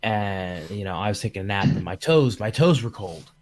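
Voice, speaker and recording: Low-voiced man hosting a casual stream. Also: lively intonation, moderate pace, darkish tone. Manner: rambling, jokey. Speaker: podcast host. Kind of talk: casual livestream chat.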